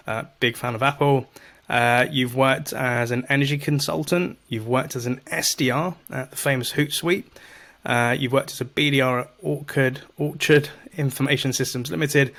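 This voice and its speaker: A man's voice: mid-range voice, a bit bright. A man hosting a casual podcast interview over a video call, enthusiastic and chatty. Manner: enthusiastic, chatty, laughs often. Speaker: podcast host.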